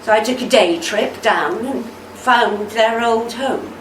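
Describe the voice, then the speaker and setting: A woman playing a devoted, steadfast older army wife in a radio play, with a measured, tender delivery. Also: fairly high pitch, fairly bright tone. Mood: measured, careful. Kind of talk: amateur radio play acting. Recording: roomy, distant mic, faint noise.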